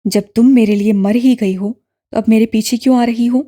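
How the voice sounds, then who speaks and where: A woman voicing a bitter young man who shouts accusations at his sister, in a lowered voice in an audiobook. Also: fairly even intonation, lightly acted. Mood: bitter, shouting. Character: furious young man, bitter estranged brother. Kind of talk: audiobook character voice.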